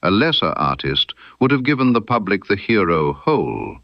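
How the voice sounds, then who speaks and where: A man voicing a stern, incisive detective in an audiobook mystery, precise and emphatic, with dramatic pauses. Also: deep voice, sing-song, no echo, lo-fi sound. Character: stern, incisive male detective laying out his case.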